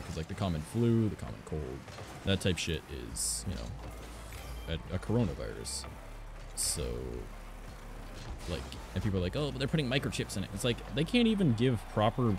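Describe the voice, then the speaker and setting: A young man from Canada with a raspy voice, chatting casually and rambling as a streamer, filling his talk with "like" and "um". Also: low voice, background noise. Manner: rambling, frequent "like" and "um". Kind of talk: casual chat while gaming. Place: home recording studio.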